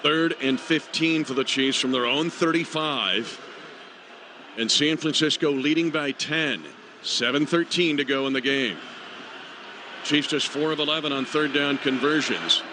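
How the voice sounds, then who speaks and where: High-voiced man calling football over stadium noise. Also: measured pace. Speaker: play-by-play radio announcer. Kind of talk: live football play-by-play commentary. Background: loud stadium ambience.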